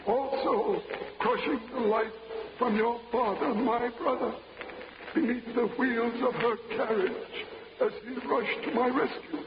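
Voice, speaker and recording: Echoing, solemn dying husband. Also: male voice, extremely high pitch, steady tone, background noise. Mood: dying, accusing. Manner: solemn, halting, deliberate. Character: dying husband reading his last accusing letter. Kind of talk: 1940s radio acting. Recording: echoey, muffled radio recording with music.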